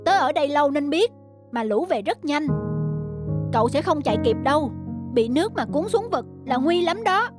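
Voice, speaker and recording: A woman's voice: high-pitched, lively intonation, moderate pace, music in the background. A woman voicing a well-meaning cartoon crow who speaks in an earnest, urging way. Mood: warning. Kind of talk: children's cartoon dubbing.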